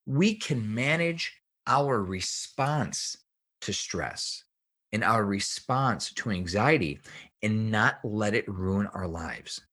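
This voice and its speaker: Low-voiced man explaining earnestly. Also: animated, slow pace, fairly bright tone. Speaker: guest health researcher and author. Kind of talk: podcast interview, explanatory talk.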